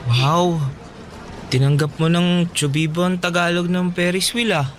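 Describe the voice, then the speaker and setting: A man's voice: high voice, fairly even intonation, unhurried, a little hiss or hum. An actor playing an earnest, lovestruck teenage boy from a traveling fair, eager and pleading in a radio drama. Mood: playful. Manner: eager, pleading, plainly sincere. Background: music underneath.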